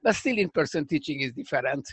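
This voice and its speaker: An older man with a Hungarian accent, speaking as a professor guest in a remote interview, halting and restarting as he searches for words. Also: on the high side, animated, a bit bright, breathy edge, no room sound.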